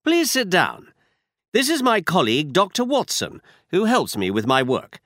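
A confident male detective, voiced by a man narrating, brisk and instructive, always a step ahead. His lines sit high and leap about in pitch. The sound is dry and up close. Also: huge pitch swings, fairly bright tone, dry recording, exaggerated voice. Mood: assured.

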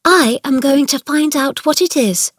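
A woman's voice: high-pitched, unhurried, dry recording, voice pushed up. A woman voicing a bold, curious young girl in a children's storybook, forthright and inquisitive. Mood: determined.

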